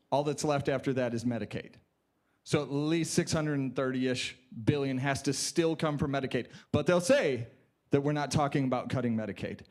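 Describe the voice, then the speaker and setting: Urgent man rallying a forum crowd. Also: fairly high pitch. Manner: urgent, emphatic, repeats "you have to". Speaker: audience member at a public forum. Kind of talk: impassioned call to action. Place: conference hall.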